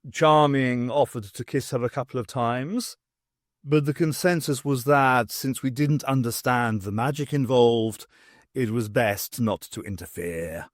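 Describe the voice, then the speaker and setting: A man voicing a slow, solemn, somewhat fretful storybook king in an audiobook. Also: mid-range voice, no room sound, clean studio sound, close to an everyday voice. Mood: abashed.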